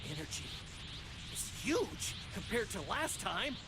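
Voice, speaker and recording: An actor playing a cautious, wry fighter sidekick in an English anime game dub. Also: male voice, high-pitched, sing-song, unhurried, very noisy, heavily acted. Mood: alarmed. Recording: loud game music behind.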